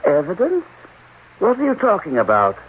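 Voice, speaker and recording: A man's voice: high-pitched, wildly swooping pitch, normal speed, soft background music. A man playing a stern, skeptical general in a radio drama, speaking in a clipped, incredulous, theatrical way. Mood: incredulous, indignant. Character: stern, dismissive male general, skeptical authority figure. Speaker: actor. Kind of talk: 1980s radio drama acting. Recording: dull, noisy old radio recording.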